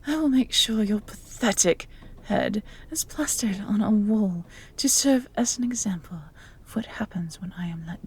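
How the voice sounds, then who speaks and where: Woman as a slow, creaky evil noblewoman. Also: medium pitch, darkish tone, a little hiss or hum. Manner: slow, deliberate, threatening, commanding. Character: cold, menacing evil noblewoman, imperious mistress. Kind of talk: fantasy audio drama villain acting.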